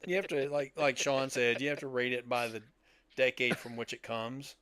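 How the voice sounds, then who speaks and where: An older man with a mid-pitched voice chats casually as a podcast co-host. The sound is dry and close, and he talks like someone among friends discussing old movies. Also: fairly even intonation, moderate pace, sharp tone, smooth, no room sound. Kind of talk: casual group chat about old films.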